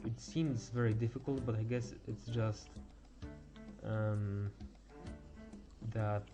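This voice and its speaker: Low-voiced teenage guy chatting on stream. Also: fairly even intonation, over music. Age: teens. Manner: halting, stammering over words, thinking aloud. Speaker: game streamer. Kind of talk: casual live game stream chat.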